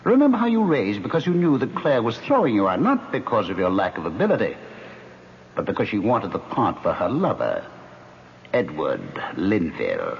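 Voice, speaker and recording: An actor playing a smug, manipulative ghost in a radio drama, with a theatrical, mocking and insinuating delivery. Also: male voice, fairly low pitch, wildly swooping pitch, moderate pace. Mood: goading. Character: smug, taunting male ghost, manipulative and urbane. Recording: muffled old radio broadcast, faint noise.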